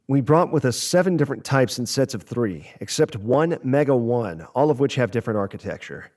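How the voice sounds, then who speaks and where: A man playing a matter-of-fact robotics technician on a spaceship in an audio drama, explaining things in a measured, precise way. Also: mid-range voice, animated, a little mellow, natural voice.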